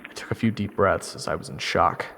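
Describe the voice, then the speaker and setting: A man's voice: sits low, a little fast, very noisy, close to an everyday voice. A man playing a wry, self-deprecating young soldier narrating his fantasy adventure in first person. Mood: excited, suspenseful.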